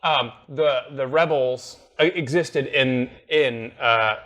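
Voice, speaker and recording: A man's voice: medium pitch, slow, a bit bright. A man co-hosting a casual gaming podcast from a living room couch, talking animatedly and acting out lines he quotes. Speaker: podcast co-host.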